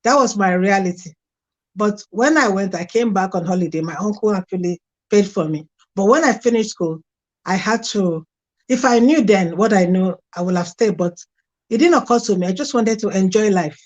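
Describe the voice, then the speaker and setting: A woman's voice: medium pitch, normal speed, a little mellow. A middle-aged woman from Nigeria with a Nigerian accent, a guest frankly telling her life story in an interview. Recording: dull lo-fi sound.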